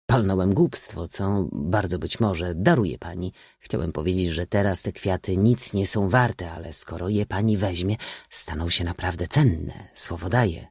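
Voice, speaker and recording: A man's voice: low-pitched, unhurried, a little mellow, husky, dry recording, studio quality, full character voice. A man voicing an eager, awkward young aristocratic suitor who blurts and corrects himself, in an audiobook story. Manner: blurting, hesitant, self-correcting.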